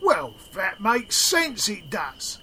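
A dopey meek sidekick, high bright voice, childlike, crickets behind. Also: male voice, huge pitch swings, slow, a little hiss or hum, heavily acted. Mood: meek, dim. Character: slow, simple-minded male henchman, deferential and hungry. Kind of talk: audio drama acting.

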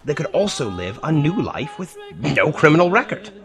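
An actor playing an earnest, cautioning scientist professor in an audio drama, explaining things carefully. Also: male voice, on the high side, big pitch swings, normal speed, gravelly, fairly bright tone, soft background music, heavily acted. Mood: earnest, concerned.

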